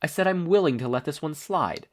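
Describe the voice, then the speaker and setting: A man voicing a courteous, theatrical gentleman hero from a story, with florid old-fashioned phrasing. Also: a little high, expressive, fairly quick, voice pushed up, close to an everyday voice. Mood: grandly magnanimous.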